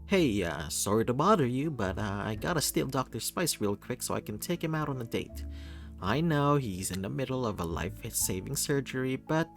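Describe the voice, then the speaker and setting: A man's voice: medium pitch, animated, moderate pace, creaky, faint music behind, voice pushed up. A man voicing an awkward, earnest, starstruck fan in a visual novel reading. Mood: sheepish.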